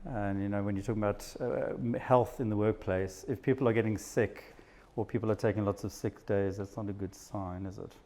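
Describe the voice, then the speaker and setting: A man's voice: fairly low pitch, steady tone, a little fast, darkish tone. Chatty South African man addressing an audience. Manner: chatty, self-deprecating, with frequent laughs. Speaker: psychiatrist giving a talk. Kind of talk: informal live talk to an audience. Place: event room with an audience.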